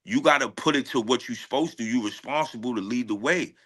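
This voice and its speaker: A man's voice: mid-range voice, brisk, fairly bright tone. A man, a rapper talking casually in an interview with heavy slang, confident and emphatic, often repeating his phrases. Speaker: guest rapper.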